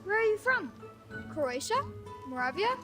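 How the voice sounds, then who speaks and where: A woman's voice: extremely high pitch, sing-song, normal speed, pushed and bright, exaggerated voice. A woman voicing a talkative, street-smart kid in a game, with a brash, mocking manner. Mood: sly. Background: game music.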